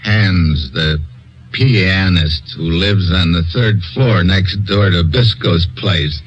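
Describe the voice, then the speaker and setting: A confident, wisecracking agent with a deep, low voice. He talks in a dry way, and he is heard through a dull 1950s radio recording with music underneath. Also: takes it slowly. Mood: wry. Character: wry tough male agent, confident. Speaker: investigator and narrator. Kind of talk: 1950s radio drama acting.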